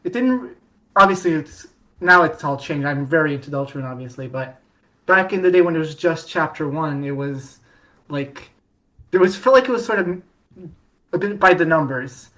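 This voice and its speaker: Woman, podcast guest, slightly low voice, loose rambling delivery full of restarts. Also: lively intonation, moderate pace, a bit bright. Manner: rambling, many restarts and fillers. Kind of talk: casual chat.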